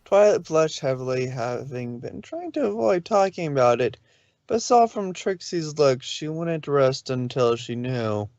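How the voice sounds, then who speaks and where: A man's voice: a little high, sing-song, measured pace, darkish tone, no echo. A man narrating fan fiction from a script, on a dull, lo-fi recording.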